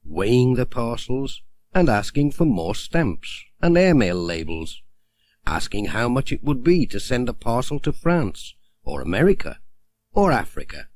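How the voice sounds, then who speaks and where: Guy reading a kids storybook, very animated pitch, dry close recording. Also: unhurried, no room sound. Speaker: audiobook narrator. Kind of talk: scripted children's storybook narration.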